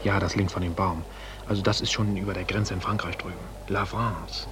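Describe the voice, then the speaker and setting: An actor playing a gruff, bossy border policeman in a 1940s German radio play, curt, pedantic and blustering. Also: low voice, fairly even intonation, brisk, lightly acted. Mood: conspiratorial. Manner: curt, blustering, stammers when challenged. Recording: old radio recording with background noise.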